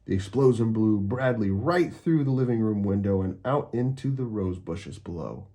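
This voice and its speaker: A man narrating a children's storybook aloud, expressively and with deliberate, dramatic emphasis. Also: lowish voice, expressive, warm tone.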